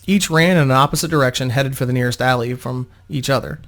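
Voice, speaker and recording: A man with a medium-pitched voice narrates a fantasy tale. He is the host and storyteller, reading in a dramatic audiobook style. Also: fast talker, fairly bright tone.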